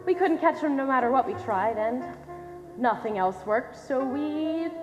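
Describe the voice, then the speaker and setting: A wry American woman scientist acted by a young woman speaks in a high, lively voice, projected for a stage play. The hall rings with long reverb and music plays behind. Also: a little slow, highs cut off. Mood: patient, reassuring. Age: young adult. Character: dry-witted, protective woman scientist. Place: theater stage, distant camera.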